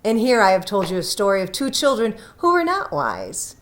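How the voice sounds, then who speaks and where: A woman reading a scripted story aloud with deliberate, dramatic phrasing. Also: mid-range voice, huge pitch swings. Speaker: story reader.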